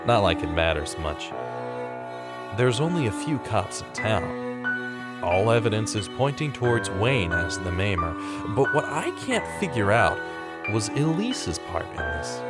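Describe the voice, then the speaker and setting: A man playing a skeptical, dogged, brooding investigator in a vintage-style radio mystery, with a questioning, deliberate, dramatic delivery. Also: on the low side, very animated. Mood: brooding, puzzled. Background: music.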